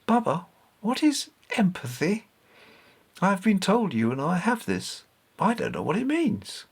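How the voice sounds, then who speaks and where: An earnest, inquisitive young boy from a storybook, played by a grown man. The voice is raised in pitch and lively. He sounds questioning and a little hesitant. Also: a little slow, breathy edge, full character voice. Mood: curious. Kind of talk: storybook character voice.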